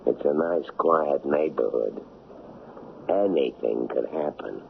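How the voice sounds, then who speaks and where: A scheming, menacing drug smuggler in a radio play. His voice is rock-bottom low and full of gravel, and he talks in slangy, brash bursts. The old broadcast sounds muffled. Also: lively intonation, slow pace, slight background noise, exaggerated voice. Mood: sly, boastful. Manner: slangy, brash street-tough talk. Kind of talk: radio drama acting.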